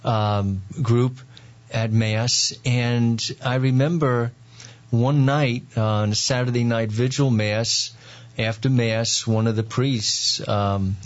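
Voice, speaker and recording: A man with a New York accent, a priest, telling his story in an interview with many pauses and fillers. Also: mid-range voice, dull audio. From Long Island, New York. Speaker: priest, guest.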